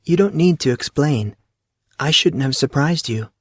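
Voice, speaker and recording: A man voicing a guarded teenage girl with a light, calming, slightly nervous tone in an audio story. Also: on the high side, a little slow, no room sound, clean studio sound, pitched above the performer's own voice. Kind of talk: audio drama character dialogue.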